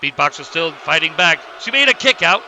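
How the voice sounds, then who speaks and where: A man playing an excitable wrestling commentator, calling the fight blow by blow. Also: a little high, a little fast, some chatter behind, a little hiss or hum, full character voice. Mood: focused. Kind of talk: sports commentary.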